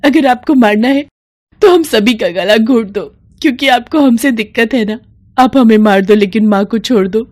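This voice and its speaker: A woman's voice: fairly high pitch, moderate pace, darkish tone, no room sound, studio quality, voice pushed up, natural voice. A woman voicing frightened young village daughters in an audio story, tearfully begging their father. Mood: desperate pleading.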